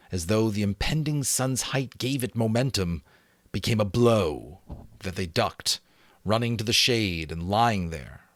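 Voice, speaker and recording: Man, lowish voice, dramatic audiobook narration, expressive emphasis, dry close sound. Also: no echo. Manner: expressive, varied emphasis, occasional self-corrections.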